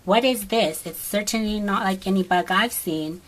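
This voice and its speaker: A woman voicing solemn and scornful male storybook characters in slow, deliberate pronouncements. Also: mid-range voice, pitched below the performer's own voice, close to an everyday voice, poor audio. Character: solemn wizard and scornful beetle friends.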